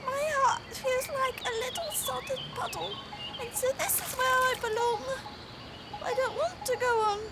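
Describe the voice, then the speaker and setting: Squeaky, gloomy little girl mouse. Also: measured pace, heavy background noise. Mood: dismal, sulky. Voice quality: tiny, squeaky. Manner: dramatic, sulky. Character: melodramatic, gloomy young girl field mouse. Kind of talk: audio drama acting.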